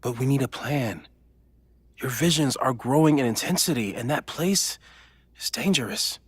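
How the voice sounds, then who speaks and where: An actor playing an earnest, analytical and worried friend, gentle and thoughtful, in naturalistic video game dialogue. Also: male voice, medium pitch, lively intonation, normal speed, husky, dry recording. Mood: worried, protective.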